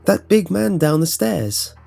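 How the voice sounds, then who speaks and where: A man's voice: high voice, sing-song, measured pace, vocal fry, mellow, pitched above the performer's own voice, heavily acted. A man voicing small children who say eerie, innocent things in a plain, childlike way for a horror narration. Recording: voice-over with music behind.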